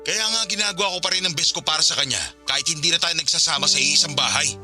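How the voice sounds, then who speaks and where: A man's voice: a little high, fast talker, sharp tone, faint music behind. An actor playing a firm, exasperated young father in a radio drama, curtly refusing his former partner. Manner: curt, firm.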